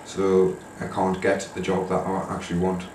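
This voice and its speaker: A teenage man of nineteen speaks very deep and flat, hardly moving his pitch. He sounds weary as he complains. The room echoes and the mic is distant. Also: moderate pace, slight background noise. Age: teens. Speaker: interviewee. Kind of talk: casual interview answers.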